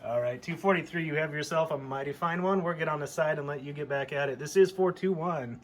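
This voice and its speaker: A man's voice: a little high, fairly quick, noisy background. A middle-aged American man chatting casually and nostalgically, in a rambling show-and-tell style. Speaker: hobbyist vlogger showing a CB radio.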